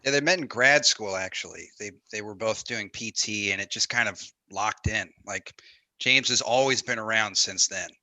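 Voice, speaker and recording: A man's voice: lowish voice, normal speed, bright, forceful tone, poor audio. A man playing an earnest groomsman in his thirties, giving interview-style lines in a comedy table read.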